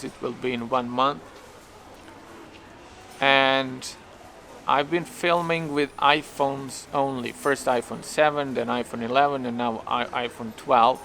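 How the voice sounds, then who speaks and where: A man's voice: medium pitch, a bit bright, a little hiss or hum. A young man with a Serbian accent talking to camera in a hesitant, self-questioning way, often restarting his sentences, recorded outdoors while waiting for a taxi. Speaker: vlogger. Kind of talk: casual thinking-aloud vlog.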